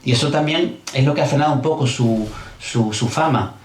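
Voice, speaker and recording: A man giving an explanatory lecture talk at a book presentation. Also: mid-range voice. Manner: quick and explanatory. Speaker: author and speaker. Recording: echoey hall, faint noise.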